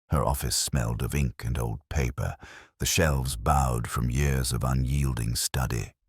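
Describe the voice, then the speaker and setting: Give the narration to a man playing a brooding police inspector with an extremely low, husky voice. He recounts his case in measured, literary phrases, melancholic and introspective, with wide swings in pitch, as in a detective audio drama. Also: no room sound, clean studio sound, exaggerated voice.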